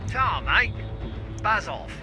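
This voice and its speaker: A man's voice: high-pitched, sing-song, slow pace, pushed and bright, faint music behind, full character voice. An actor playing a brash, cheeky male soldier in a video game voice pack.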